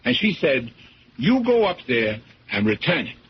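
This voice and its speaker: A man's voice: medium pitch, very animated, a little slow. An actor playing a good-natured, folksy fisherman who rambles on colloquially in a 1970s radio drama. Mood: chatty, animated. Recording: dull, noisy old radio recording.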